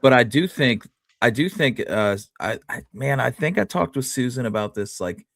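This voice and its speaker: A man co-hosting a show, chatting casually and thinking aloud in a halting way. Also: mid-range voice, expressive, gravelly, a bit bright, no room sound, studio quality. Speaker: show co-host. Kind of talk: casual conversational commentary.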